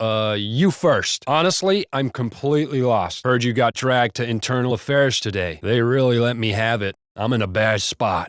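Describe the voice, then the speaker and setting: An actor playing a gossipy, foul-mouthed American cop in casual, blunt video game banter. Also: male voice, medium pitch, a bit bright, dry recording, clean studio sound, natural voice. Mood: grim, weary. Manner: casual, blunt, profane wisecracking.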